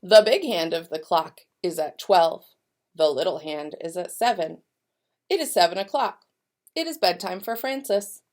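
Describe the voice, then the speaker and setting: A woman giving school announcements and reading a picture book aloud to young children, speaking directly to them in an expressive, deliberate way. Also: on the low side. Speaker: elementary school staff member giving announcements and read-alouds.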